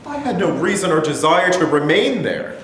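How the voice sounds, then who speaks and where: A man's voice: sits high, big pitch swings, moderate pace. A man acting the part of a defensive, evasive elderly Holocaust survivor accused of collaborating, protesting in a staged play reading. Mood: evasive, weary. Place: indoor room at a staged reading. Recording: echoey room, light noise, distant pickup.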